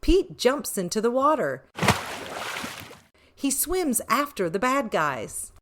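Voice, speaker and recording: A woman reading a children's picture book aloud in an expressive, deliberate way with emphasis on short phrases. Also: a little high, background noise. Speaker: read-aloud storyteller for children.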